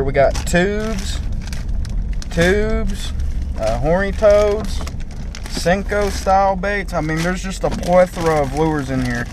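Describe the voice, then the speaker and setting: A man's voice: high voice, noisy background. A young man casually vlogging a fishing trip outdoors, joking and rambling on an action camera. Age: young adult. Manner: joking, rambling, laid-back. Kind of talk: casual vlog chat. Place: outdoors on a river bank and boat.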